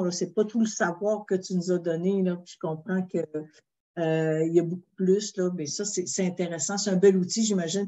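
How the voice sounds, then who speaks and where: A woman host with a Québécois accent, interviewing a guest in a chatty way with frequent fillers. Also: on the low side. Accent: Québécois French. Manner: warm-hearted, chatty, many fillers. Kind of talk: interview chat.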